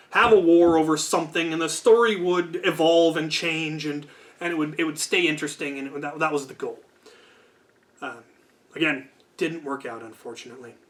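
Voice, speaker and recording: A man's voice: a little high, brisk. A man hosting a storytelling channel, talking in a casual, unscripted, discursive way with wry asides.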